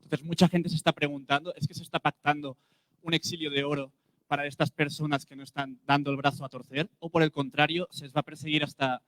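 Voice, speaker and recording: A man's voice: fairly high pitch, flat delivery, quick pace, a bit bright, dry recording. A man from Catalonia with a Catalan accent, an independent journalist putting a question at a press conference. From Catalonia, Spain.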